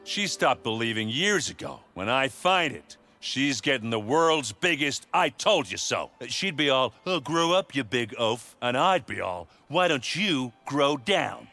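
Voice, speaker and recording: An actor playing a big, good-natured, boastful oaf of an adventurer, boisterous and earnest, in a video game. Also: male voice, mid-range voice, sing-song, measured pace, few pauses, sharp tone, heavily acted. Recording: game cutscene with music underneath.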